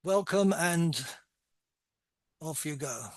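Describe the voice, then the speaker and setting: A man's voice: a little high, lively intonation, slow pace, no echo, studio quality. A man hosting and moderating a group discussion, affirming and courteous. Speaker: host and moderator.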